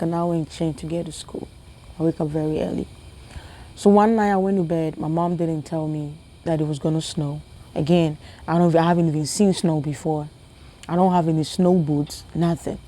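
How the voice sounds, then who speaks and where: A young woman from Ghana telling a funny personal story to her viewers in a casual, animated way, often repeating herself and laughing. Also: lowish voice. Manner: animated, repetitive, laughing, talks to viewers as family. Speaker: vlogger.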